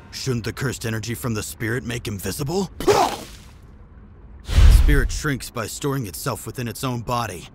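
A man's voice: mid-range voice, natural voice. A man voicing a cocky, cold-blooded assassin in a manga dub, with a nonchalant, taunting delivery. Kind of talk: amateur anime manga dub acting.

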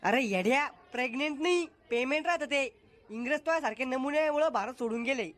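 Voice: high-pitched, brisk. A voice actor playing a strict rural male schoolteacher, blunt and scolding. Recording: loud music under the voice.

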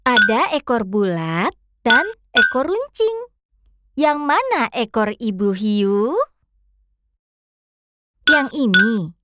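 A woman voicing a cheerful cartoon fox who coaxes children to join the search in a sing-song way. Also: a little high. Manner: sing-song, coaxing, addresses the kids. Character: bright cheerful pink fox guide.

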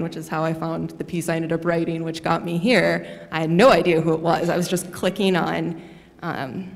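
A young woman graduate student speaking on a university panel at a stand mic, in a measured, steady way. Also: on the low side, creaky. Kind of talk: panel discussion. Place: lecture hall with audience. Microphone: stand mic at a panel table. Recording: long reverb of a hall.

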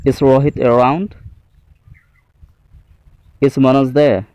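A male teacher delivers a scripted lesson at a slow pace, setting out each phrase deliberately. His voice is clean and smooth at a medium pitch, recorded dry and close. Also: flat delivery, darkish tone, no echo. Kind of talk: scripted English lesson, reading example phrases.

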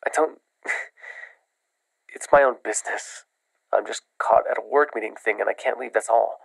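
A young man acting a desperate, evasive caller, speaking haltingly with a gravelly voice in an immersive audio roleplay. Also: fairly low pitch, no room sound, exaggerated voice, poor audio. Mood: pleading, strained. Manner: halting, pleading. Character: desperate, evasive man pleading over the phone.

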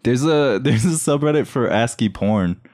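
A man co-hosting a comedy podcast in casual banter, jokey and quick to riff, often breaking into laughter. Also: mid-range voice, lively intonation, darkish tone, soft background music. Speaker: sketch comedy podcast co-host.

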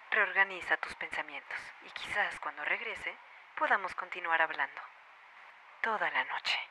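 A woman playing a cold, commanding, threatening interrogation officer in a Latin American animated fan dub. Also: fairly low pitch, takes it slowly, pauses often, slight background noise, full character voice, rough recording. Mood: smug, menacing. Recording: loud music behind the voice.

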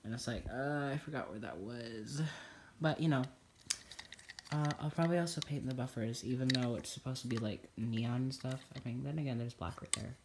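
A young man with a stuffy, congested nose talks his way through a toy unboxing at a table at home. His chatter is loose and rambling, full of ums and side remarks, at a middling pitch. Crinkling packaging and clicks sit clearly behind his voice on the phone recording. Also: normal speed, slight background noise. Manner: chatty, rambling, many fillers. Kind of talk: casual unboxing commentary. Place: indoors at a table in a home room.

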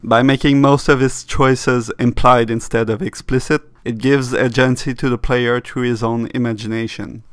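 A man's voice: medium pitch, clean tone. A man reading a scripted video essay that reviews games, in the role of narrator. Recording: music bed behind the voice. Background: music.

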